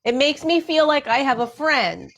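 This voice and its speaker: A woman's voice: high voice, expressive, moderate pace, fairly bright tone. A woman hosting a radio interview show.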